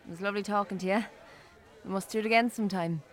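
A woman's voice: medium pitch, fairly even intonation, very fast, lightly acted. Friendly Irish bookshop woman on a date , acted. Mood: friendly, playful. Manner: conversational, gently playful. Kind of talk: naturalistic radio drama acting.

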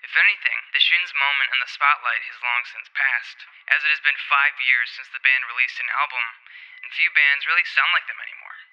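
A man delivers a scripted music column as a voice message. His voice is very bright and crisp, and his phrasing is articulate and essay-like. The recording is a little dull and lo-fi. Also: medium pitch, a little fast. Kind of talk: scripted opinion commentary, framed as a voice message.

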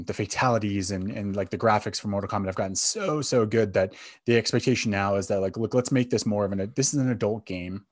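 A man's voice: on the low side, dry recording, clean studio sound. A man hosting a podcast in casual chat about entertainment, speaking in a hesitant, think-aloud way. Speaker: podcast host.

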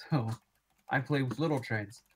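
A man's voice: medium pitch, slight background noise, low-quality recording. A man from the USA chatting casually as a podcast guest and hobby builder. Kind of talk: casual chat.

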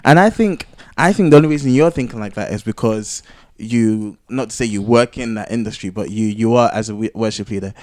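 Lower-pitched man chatting casually. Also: fairly quick, few pauses, a little mellow, no echo, clean studio sound. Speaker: podcast co-host. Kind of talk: casual chat.

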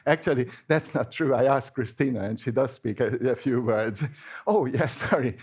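A man's voice: mid-range voice, moderate pace, a little mellow, slightly rough. A man with a Hungarian accent, a native Hungarian speaker, giving an informal sermon in a chatty, hesitant way with many restarts. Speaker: preacher.

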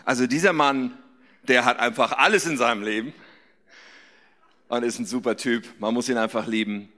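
A man's voice: medium pitch, steady tone, moderate pace, pushed and bright. A man hosting a church service, giving an effusive, emphatic and casual stage introduction. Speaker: church host introducing preacher. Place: large church hall. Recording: echoey hall with long reverb.

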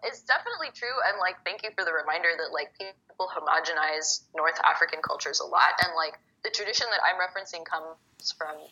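A young woman from Egypt, a poet guest, talking casually and quickly with lots of "like" fillers. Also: on the low side, lively intonation, pushed and bright, husky. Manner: fast, chatty, many fillers like "like". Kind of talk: casual conversation. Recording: muffled phone-like call audio.

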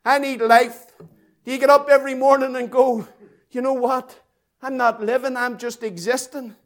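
A man's voice: very high-pitched, steady tone, a bit bright. A man preaching an impassioned evangelistic sermon, repeating phrases emphatically and drawing them out for dramatic weight. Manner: emphatic, repetitive, dramatic delivery with drawn-out phrases.

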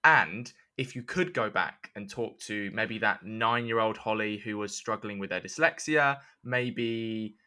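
Male podcast host, bright forward tone, a little low in pitch, conversational interviewer. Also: unhurried, hardly any pauses.

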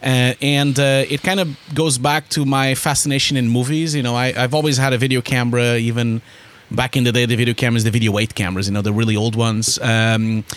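A man, a podcast guest in casual interview chat who tells his story in an animated, rambling way with many restarts. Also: medium pitch, a little fast. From Portugal.

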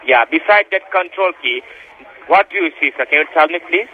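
A man's voice: fairly high pitch, animated, a little fast. A man giving repetitive, insistent step-by-step instructions as a tech support caller, addressing the listener as sir over a phone line. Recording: tinny phone line with background noise.

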